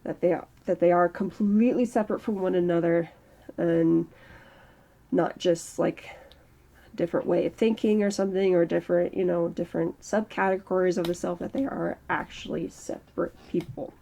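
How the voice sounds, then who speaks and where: A woman in her early thirties talking slowly and reflectively in a personal vlog, with a strained throat. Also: fairly low pitch, fairly even intonation, gravelly, dark tone. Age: early 30s. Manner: slow, halting, reflective. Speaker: channel host. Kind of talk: personal mental health vlog monologue.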